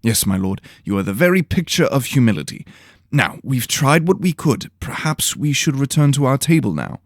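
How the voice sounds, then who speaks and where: A man's voice: medium pitch, sing-song, normal speed, no room sound, studio quality, pitched above the performer's own voice. A man voicing a cautious, polite pupil with a hesitant, sheepish manner in a fantasy audio drama. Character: nervous, cautious, polite young male pupil.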